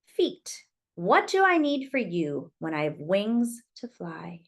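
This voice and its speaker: A woman's voice: medium pitch, sing-song, slow pace. A woman reading a picture book aloud to young children, expressively, with chatty asides to her listeners about the pictures.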